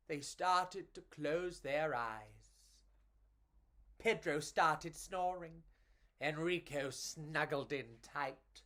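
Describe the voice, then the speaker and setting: A woman reads a storybook for children in a slightly low, richly varied voice. Her delivery is theatrical and playful, taking its time over each phrase. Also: a bit bright. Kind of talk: expressive storybook reading for children.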